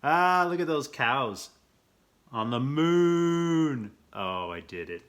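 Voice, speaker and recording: A man reading a children's picture book aloud as host, playful and theatrical, with expressive asides and questions. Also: medium pitch, slow. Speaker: storyteller, host of a read-aloud show.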